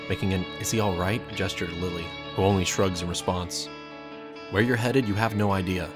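A man reading a scripted second-person story aloud as its narrator. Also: deep voice.

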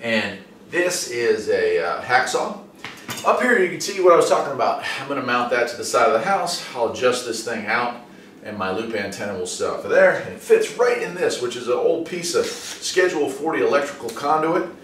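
A man's voice: medium pitch, lively intonation, normal speed, fairly bright tone. A middle-aged American man giving a casual hands-on demonstration in a folksy, chatty way. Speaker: host. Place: indoor home workshop and garage. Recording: echoey room, distant mic, faint noise.

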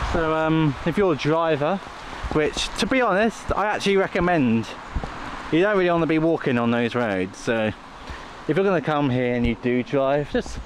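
Guy rambling to camera outside, stops and starts, really animated pitch, noisy audio. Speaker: YouTuber host. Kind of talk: casual outdoor vlog chat. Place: outdoors in muddy woods. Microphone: handheld camera while walking.

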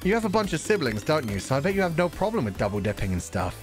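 A man streaming a game, reading its dialogue aloud with jokey ad-libs in a playful, animated manner. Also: on the high side, fast. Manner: animated, stammering for effect, jokey. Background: visual novel game music.

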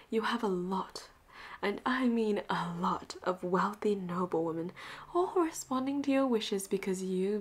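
A flirty, commanding pirate captain, acted by a woman for roleplay audio. She has a breathy, husky voice and takes her time, slipping often into a whisper as she teases and claims her listener as her own. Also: mid-range voice. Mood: flirty, protective. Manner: teasing, drawn-out endearments, often drops to a whisper.

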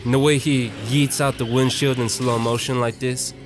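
A man's voice: mid-range voice, little pitch movement, normal speed. A young man narrating a casual, opinionated video essay in a slangy, profane and irreverent manner. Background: music.

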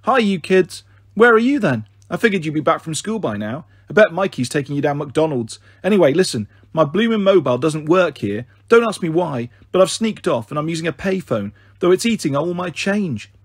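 A man's voice: fairly high pitch, sing-song, a little fast, husky, dry recording, studio quality. A man reading a storybook, voicing a breezy, careless working-class mum with a British accent. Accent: British English. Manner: breezy, chirpy, airy and careless. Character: chatty cheerful working-class mum on a payphone.

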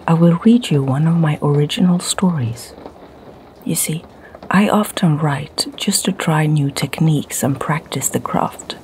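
Low whispering Swedish woman. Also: slight background noise. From Sweden. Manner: soft, slow whisper. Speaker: ASMR creator and storyteller. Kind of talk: whispered ASMR storytelling. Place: home library, candlelit.

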